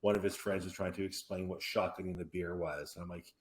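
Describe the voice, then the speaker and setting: A man's voice: low voice, quick pace. Man in his forties, roomy sound. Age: 40s. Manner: rambling, animated storytelling with restarts and asides. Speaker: podcast host. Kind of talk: casual conversational interview. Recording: roomy echoey room.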